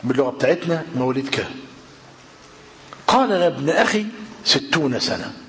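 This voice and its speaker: An older man with an Egyptian Arabic accent, giving a religious lesson in a hall and questioning his listeners, slowing down when he quotes classical texts. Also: mid-range voice. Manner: lively rhetorical questioning of the audience. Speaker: preacher. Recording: reverberant hall with faint noise.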